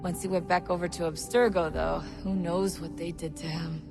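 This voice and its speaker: A woman's voice: lowish voice, little pitch movement, normal speed, creaky, music in the background. An actress playing an easygoing, supportive young woman on a covert team in a video game, casual and friendly. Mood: sympathetic, reassuring. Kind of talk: video game cutscene acting.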